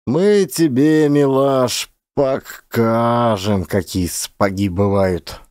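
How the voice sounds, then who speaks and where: A man voicing an angry, officious peasant village elder, scolding and accusing loudly, in an audio play. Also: mid-range voice, takes it slowly, clean tone, dry recording, studio quality, natural voice. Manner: loud, accusing.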